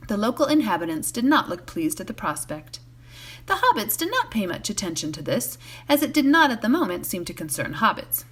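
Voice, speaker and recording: A woman reading a storybook aloud as a volunteer, expressive, occasionally stumbling and correcting herself. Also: mid-range voice, brisk, slightly rough. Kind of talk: read-aloud storybook narration.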